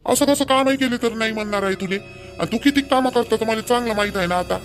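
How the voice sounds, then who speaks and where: A man's voice: extremely high pitch, quick pace, soft background music. A man voicing a blunt, fault-finding young village husband in an amateur cartoon, nagging in a Vidarbha Marathi accent. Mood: complaining, sarcastic. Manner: blunt, nagging, repetitive complaining. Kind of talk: amateur cartoon dialogue in rural dialect.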